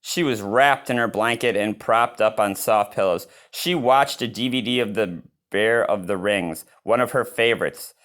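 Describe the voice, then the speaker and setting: A man's voice: on the low side, moderate pace, hardly any pauses. Man reading a children's storybook aloud. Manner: expressive, gasping and reacting to the story. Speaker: read-aloud reader and channel host. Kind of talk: storybook read-aloud with chatty asides.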